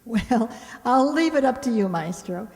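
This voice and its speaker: Level-headed, dryly patient young roommate, played by a woman, high voice, vintage radio comedy, echoing hall. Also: lively intonation, a little slow, gravelly, a little mellow. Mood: dry, sensible. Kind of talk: old-time radio comedy acting.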